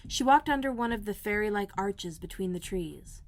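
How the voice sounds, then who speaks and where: A woman narrating a children's storybook as a read-aloud host, in a measured, expressive storytelling manner. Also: mid-range voice, a little hiss or hum. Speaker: elementary school librarian, host.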